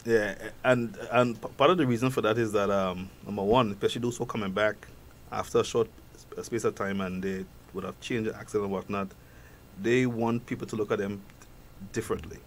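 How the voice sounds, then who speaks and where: Halting man with a Trinidadian accent. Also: on the low side, steady tone, slight background noise. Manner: halting, repeats words often. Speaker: guest expert. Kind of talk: talk show discussion.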